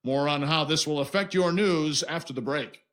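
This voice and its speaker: A man's voice: a little high, a bit bright, lightly acted. A man playing a serious, polished TV news anchor, reading formally in an audio drama. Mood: formal, composed. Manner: formal, measured broadcast delivery.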